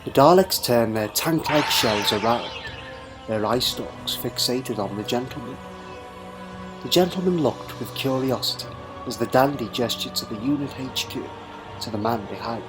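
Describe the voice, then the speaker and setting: A man's voice: mid-range voice, lively intonation, moderate pace, over music, poor audio. A man narrating a scripted adventure story, reading it aloud in a dramatic manner.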